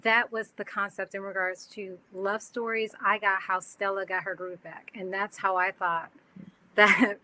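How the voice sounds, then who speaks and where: A woman filmmaker on a video-call panel, speaking thoughtfully with halting restarts. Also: medium pitch, little pitch movement, bright, forceful tone, slight background noise, poor audio. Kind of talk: panel discussion.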